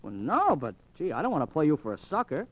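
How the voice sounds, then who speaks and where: Animated, high-pitched American racetrack bookie. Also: male voice, quick pace, lo-fi sound. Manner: slangy, chatty, animated. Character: fast-talking, slangy, streetwise small-time racetrack bookie. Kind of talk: old-time radio drama acting.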